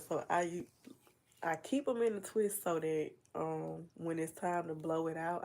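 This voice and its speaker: Stuffy-nosed young woman, gravelly low voice. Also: a little mellow. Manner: chatty, meandering, full of fillers and asides. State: stuffy nose from a cold. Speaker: livestream host. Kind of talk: casual rambling livestream chat.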